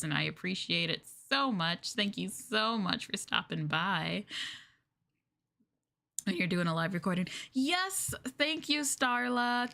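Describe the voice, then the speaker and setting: A woman's voice: mid-range voice, creaky, sharp tone. A woman chatting casually as a livestreamer, with a bubbly, effusive way of talking. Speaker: streamer.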